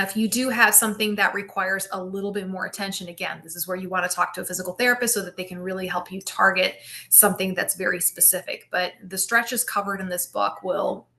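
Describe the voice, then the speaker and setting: A middle-aged woman physical therapist hosting a live Q&A stream, explaining anatomy with enthusiasm and often checking in with "right?". She projects loudly after taking off her microphone. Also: medium pitch, creaky. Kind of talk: live-stream Q&A, casual teaching. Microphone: built-in device mic.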